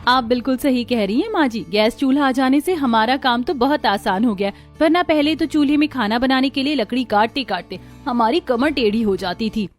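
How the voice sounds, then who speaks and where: High-voiced village housewife over music, acted by a woman. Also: a little fast, few pauses, natural voice. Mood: reflective. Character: village women of a family, daughters-in-law and mother-in-law. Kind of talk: Hindi cartoon story dialogue.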